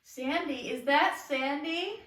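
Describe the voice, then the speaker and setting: Woman reading sweet young patient, slow and echoey. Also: high-pitched, a bit bright. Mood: happy, excited. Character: sweet, caring young female patient Annie. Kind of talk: amateur musical table read. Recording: distant mic in echoey room.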